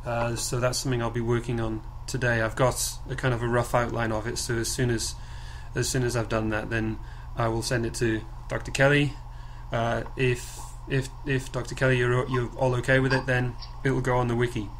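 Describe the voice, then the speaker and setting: A man informally presenting to a group on a conference call, haltingly and with repeated words and phrases. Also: mid-range voice, flat delivery. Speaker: project coordinator leading a group call. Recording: clearly noisy conference call recording.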